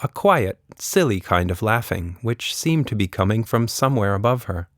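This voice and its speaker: A man's voice: on the low side, lively intonation, normal speed, no echo, clean studio sound. A man narrating a scripted children's story expressively, as a podcast storyteller.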